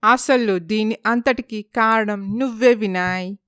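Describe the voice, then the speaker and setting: A woman playing an anxious, blaming businesswoman in an animated story, speaking insistently. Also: mid-range voice, takes it slowly, clear, resonant tone, no room sound, clean studio sound. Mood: reproachful. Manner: reproachful, insistent. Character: blaming, anxious businesswoman wife living abroad.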